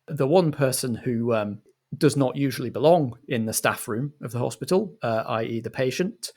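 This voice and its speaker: A man acting as game master and director, setting scenes and giving instructions in a theatrical, organised manner. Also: mid-range voice.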